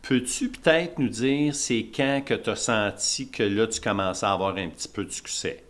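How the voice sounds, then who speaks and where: A man's voice: fairly low pitch, moderate pace, fairly bright tone. A man with a Québécois French accent hosting a podcast, asking rambling questions with restarts. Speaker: podcast host. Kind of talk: casual podcast interview.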